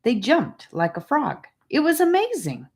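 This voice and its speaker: A woman voicing a boastful, teasing young boy in an audiobook, speaking in an animated way. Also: high voice, unhurried, voice pushed up, full character voice. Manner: animated, bragging. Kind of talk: audiobook character voice.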